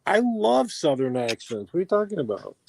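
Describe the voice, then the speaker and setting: A man co-hosting a live show, bantering and gently teasing the host. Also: on the high side, very animated, brisk, gravelly, no room sound. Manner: teasing, dry. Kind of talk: casual banter.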